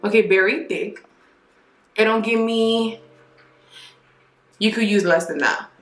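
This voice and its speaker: A young woman talks through skincare products to the camera in a casual, candid way, with lively asides. Her voice sits at a middle pitch and rings in a bright, echoey bathroom. Soft music plays faintly underneath. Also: slow pace. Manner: chatty, candid, animated asides. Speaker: beauty vlogger. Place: home bathroom, indoors.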